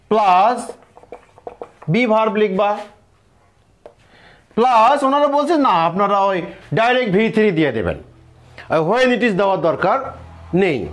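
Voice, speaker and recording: Middle-aged man, Bangladeshi accent, slow deliberate teaching, swooping intonation, repetitive didactic delivery. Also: high voice. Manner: didactic, repeats phrases and asks check questions. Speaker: English grammar teacher and board examiner. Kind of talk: classroom-style lesson.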